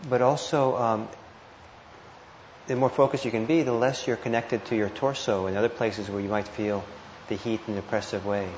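Low-voiced man guiding meditation, roomy recording. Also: fairly even intonation, moderate pace, a little mellow. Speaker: meditation teacher. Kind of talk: guided meditation instruction. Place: indoor meditation hall. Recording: muffled, roomy live talk with noise.

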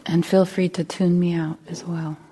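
A woman leads a guided meditation as a teacher, speaking gently and unhurriedly with long pauses. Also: on the low side, level tone, slight background noise.

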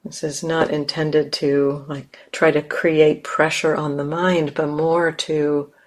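Slow, gentle woman guiding meditation. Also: low voice, little pitch movement. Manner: gentle, unhurried, with long pauses. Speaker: meditation teacher. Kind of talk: guided meditation.

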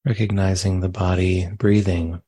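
A man leading a guided meditation as a teacher, speaking gently in short phrases with long, unhurried pauses. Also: low voice, little pitch movement, dry recording. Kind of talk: guided meditation, spoken live.

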